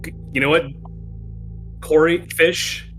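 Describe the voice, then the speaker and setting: Bright, sharp-voiced sarcastic man. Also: a little high, fairly even intonation, slow pace, faint music behind. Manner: sarcastic, joking. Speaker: player in a tabletop game. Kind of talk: casual banter.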